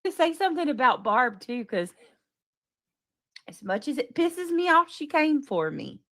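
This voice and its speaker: A woman's voice: sits high, sing-song, unhurried, fairly bright tone. A woman co-hosting a livestream, chatty and laughing often. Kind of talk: casual livestream chat.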